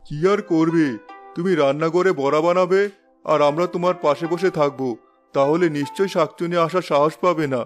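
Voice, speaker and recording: Man voicing cartoon village father, easygoing, indulgent, reassuring, fairly high male pitch, background music. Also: steady tone, brisk, close to an everyday voice. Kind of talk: cartoon voice acting.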